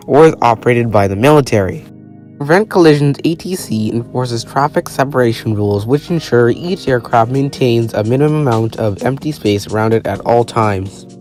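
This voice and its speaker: A man's voice: medium pitch, animated, normal speed, a little mellow. A man narrating a scripted explainer, reading formally from a written text. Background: music behind the voice.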